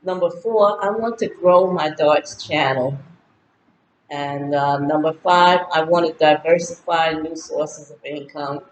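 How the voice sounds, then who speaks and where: A woman's voice: low-pitched, measured pace, highs cut off, slight background noise. A woman in her sixties talking casually to her viewers, rambling through a list of goals with lots of fillers. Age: 60s. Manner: chatty, rambling, lists goals with many fillers. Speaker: vlogger. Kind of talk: casual birthday monologue to camera. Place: home in Ghana. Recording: roomy echo with faint ambience.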